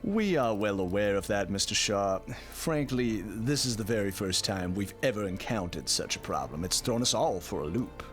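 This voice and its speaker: A man playing a stern, formal high councilman of a dream realm in an audio drama, speaking in a measured, official way. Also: animated, soft background music, close to an everyday voice. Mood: grave, explanatory.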